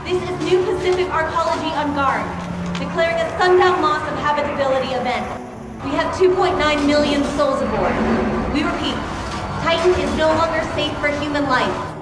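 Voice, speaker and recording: A woman's voice: high voice, normal speed. An actress playing a tense female colony dispatcher giving a clipped, formal emergency broadcast over the radio. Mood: urgent. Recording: echoey radio broadcast with loud music.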